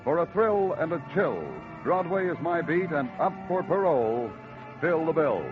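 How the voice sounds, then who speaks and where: A man's voice: a little high, animated, moderate pace. Male network announcer, punchy promo read, music behind, old radio sound. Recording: dull lo-fi 1950 radio transcription with music.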